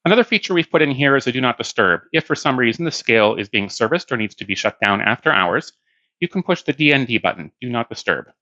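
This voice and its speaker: A man's voice: medium pitch, big pitch swings, quick pace, sharp tone, no echo, muffled recording. A man giving a hands-on product demonstration, explaining plainly step by step.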